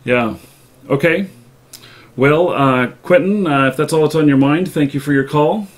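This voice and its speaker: Halting man hosting a call-in show. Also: on the high side. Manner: halting, hesitant, polite. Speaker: live show host taking callers. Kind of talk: unscripted call-in show chat.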